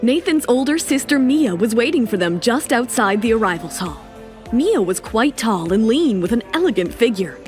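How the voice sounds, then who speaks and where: A woman narrates an audio drama in a smooth, even storytelling delivery. Her voice sits a little high, and quiet music plays beneath her. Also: normal speed.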